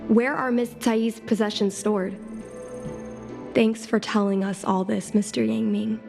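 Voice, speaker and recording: Woman playing a thoughtful young girl, game dialogue, creaky edge, unhurried, music underneath. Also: mid-range voice, fairly even intonation, close to an everyday voice. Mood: earnest, curious. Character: earnest young girl, daughter longing to be a pilot. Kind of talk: video game voice acting. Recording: clean game dialogue over background music.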